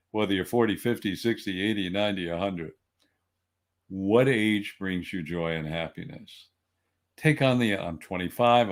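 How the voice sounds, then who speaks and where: An older man giving an earnest motivational wellness talk, with lively bursts of emphasis. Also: lowish voice. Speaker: wellness speaker.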